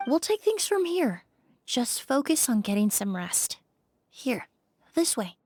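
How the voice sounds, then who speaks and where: A woman voicing a composed, gentle young female investigator in a video game, speaking politely and precisely. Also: sits high, no room sound, exaggerated voice. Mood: gentle, reassuring. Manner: calm, polite, measured.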